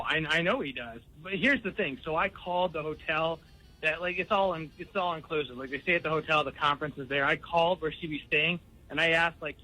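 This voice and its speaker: Bright, tense higher-voiced male radio caller. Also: low-quality recording. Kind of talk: recounting and confronting in a phone call.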